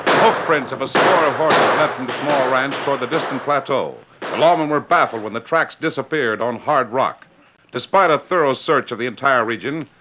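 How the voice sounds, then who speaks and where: A man's voice: medium pitch, a little hiss or hum. Muffled 1940s radio narrator, man. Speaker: announcer and narrator. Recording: muffled old radio recording. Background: music.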